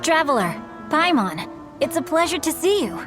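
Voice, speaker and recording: An actress playing a polite, refined young novelist in a video game, speaking with formal, gracious courtesy. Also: female voice, sits high, sing-song, moderate pace, over music.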